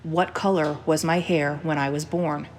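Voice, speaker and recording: A woman's voice: low-pitched, steady tone, normal speed, a little hiss or hum, natural voice. A woman voicing a frazzled woman in her thirties, strained and pleading.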